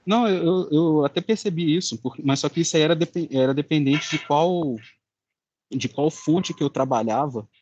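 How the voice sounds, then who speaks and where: A young man with a Brazilian Portuguese accent, giving an informal student presentation in a halting, colloquial way. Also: fairly high pitch, darkish tone, muffled recording. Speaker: student presenting a final project.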